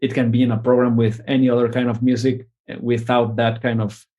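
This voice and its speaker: A man, a composer talking through his ideas in a relaxed interview, often restarting phrases and ending with a questioning "no?". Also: medium pitch, brisk. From Mexico. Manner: thoughtful, many restarts and tag questions. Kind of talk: conversational interview.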